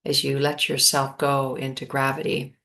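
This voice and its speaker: A woman leading a guided meditation, speaking calmly in spacious phrases with long pauses. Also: extremely low pitch.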